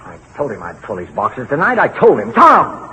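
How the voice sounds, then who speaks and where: A man's voice: medium pitch, wildly swooping pitch, moderate pace, bright, forceful tone. An actor playing a menacing, short-tempered husband losing his nerve in a 1940s radio thriller, curt and intense. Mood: angry, alarmed. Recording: noisy 1940s radio recording.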